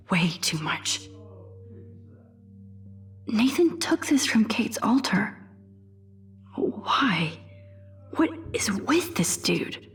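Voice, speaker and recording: A young woman with an American accent voicing an introspective, wry teenage student in a video game, musing to herself in a hushed voice. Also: mid-range voice. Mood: troubled, disgusted. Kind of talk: game character thinking aloud.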